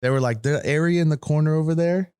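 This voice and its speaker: A man's voice: a little high, quick pace, darkish tone, clean tone, no room sound, clean studio sound. A man co-hosting a podcast, chatting with enthusiasm. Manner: enthusiastic, laughing along. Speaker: podcast co-host. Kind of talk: casual chat.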